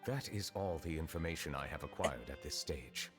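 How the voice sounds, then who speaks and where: A dignified, ancient male judge speaks in a very deep, measured, formal manner. He stays calm and reserved. Faint game music plays underneath. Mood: solemn. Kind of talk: game voice acting.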